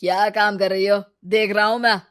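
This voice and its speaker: A woman voicing a selfish, lazy husband in a cartoon story, with a loud, curt, scolding manner. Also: mid-range voice, level tone, moderate pace, natural voice. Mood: angry, dismissive. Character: harsh, lazy, selfish husband, irritable and dismissive. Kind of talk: Hindi cartoon moral story dialogue.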